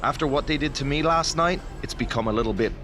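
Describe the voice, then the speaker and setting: A man voicing an intense but supportive wrestler with a dark streak in a video game cutscene, serious and deliberate. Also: medium pitch, lightly acted. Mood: serious, urging. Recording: music clearly behind the voice.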